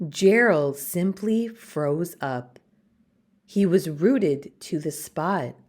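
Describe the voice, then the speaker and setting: A woman reading a children's storybook aloud as expressive narration, with dramatic, deliberate phrasing. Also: fairly low pitch.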